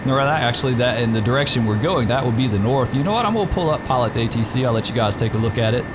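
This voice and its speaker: A slightly hoarse man hosts a gaming stream, chatting through his flight in a relaxed, rambling way full of fillers. His pitch sits mid-range. A steady hum of background noise stays under his voice. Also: very fast. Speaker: gaming channel host. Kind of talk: casual gameplay commentary.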